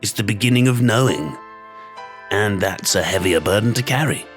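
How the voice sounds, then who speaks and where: A man's voice: lowish voice, expressive, moderate pace, a bit bright, faint music behind. A man playing a sceptical, world-weary storybook character in an audio drama, speaking emphatically and reflectively. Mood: wistful.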